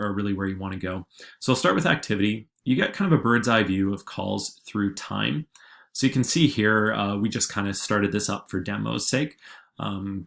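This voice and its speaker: A man giving an informal software tutorial as a presenter, explaining in a halting way with frequent filler words. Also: on the low side, creaky. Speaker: digital marketing agency presenter.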